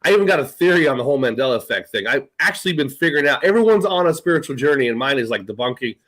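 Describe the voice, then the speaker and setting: A middle-aged man hosting a podcast in casual chat, animated, swearing and laughing often. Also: medium pitch, very fast. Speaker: podcast host.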